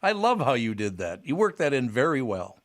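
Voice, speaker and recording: A man's voice: mid-range voice, sing-song, a little fast. A man hosting a radio talk show, chatting jokily and effusively with a guest, often rambling. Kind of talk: casual radio interview.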